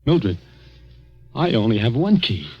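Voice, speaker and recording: An actor playing an anxious, down-on-his-luck young American man in a 1940s radio drama, halting and agitated. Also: mid-range voice, sing-song, slightly rough, faint music behind, full character voice. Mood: anxious, confessional. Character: shaken, anxious unemployed young man after a drugged night. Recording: dull, muffled old radio recording.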